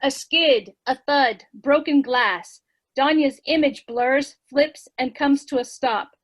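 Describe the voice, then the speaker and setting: A woman's voice: high voice, slow. Woman reading stage directions. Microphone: video call.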